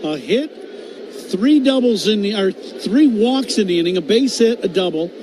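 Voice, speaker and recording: A man's voice: sits high, moderate pace. A man giving conversational, opinionated color commentary on a live baseball game. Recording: noisy stadium ambience. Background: steady ballpark crowd noise.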